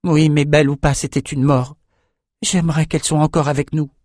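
A man voicing a gentle, wistful young woman, a devoted sister, in a fantasy audiobook. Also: on the high side, flat delivery, a little fast, no echo, studio quality, voice pushed up, close to an everyday voice. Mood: sad, wistful.

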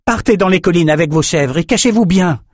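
A man voicing a calm, sensible military captain in a fantasy audiobook. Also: high voice, a little fast, dry recording, clean studio sound, pitched above the performer's own voice. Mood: firm, advising.

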